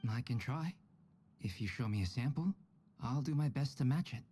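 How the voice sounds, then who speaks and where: A man playing a calm, modest, courteous painter in a video game, with a polite, reserved manner. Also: mid-range voice, moderate pace, slightly rough, soft background music.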